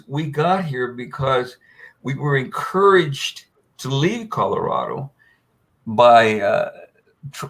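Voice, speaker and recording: An older man, telling a long personal story as a guest on a panel, with many fillers and restarts. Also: medium pitch. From United States.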